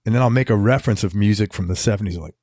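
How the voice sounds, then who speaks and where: A man in his fifties hosting a casual interview, relaxed and loose-tongued, with a voice that sounds younger than his age. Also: on the low side, very fast, dry recording, clean studio sound. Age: 50s. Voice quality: youthful-sounding voice. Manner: relaxed, swears freely, calls guest "man" and "bro". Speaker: interview host.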